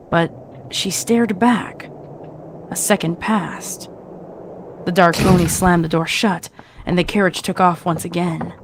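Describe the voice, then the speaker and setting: A woman's voice: on the low side, darkish tone, a little hiss or hum. A woman giving a dramatic, scripted narration of a horror story.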